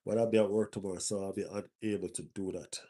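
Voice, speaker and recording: A man's voice: lowish voice, steady tone, quick pace, mellow. Stuffy-nosed man chatting on a live stream. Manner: repeats phrases for emphasis. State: stuffy nose. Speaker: football fan streamer. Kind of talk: casual live stream chat.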